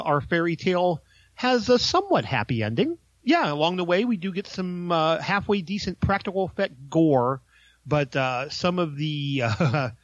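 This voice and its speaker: A man reviews a film in casual, informal chat, his voice on the higher side. He rambles and hesitates as he goes. The sound is dry and a little dull. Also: no room sound.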